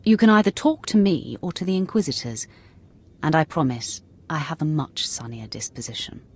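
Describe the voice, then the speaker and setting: An actress playing a merciless Sith acolyte in a video game, speaking in a cool, imperious, sardonic manner. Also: female voice, low-pitched, sing-song, moderate pace, husky, heavily acted. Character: cold, commanding female Sith acolyte, merciless. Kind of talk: video game cutscene acting.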